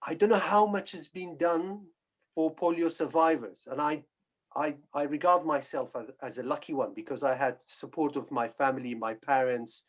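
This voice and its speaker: A middle-aged man from northern Iraq giving a personal account on a webinar, speaking in a halting, hesitant way. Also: a little high, fairly even intonation. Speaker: guest speaker, physician and polio survivor.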